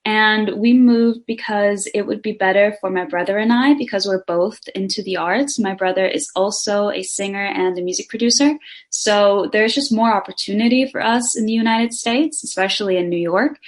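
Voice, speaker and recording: A woman's voice: mid-range voice, moderate pace. A young woman from Denmark, a singer and actress, answering interview questions eagerly and running her sentences together.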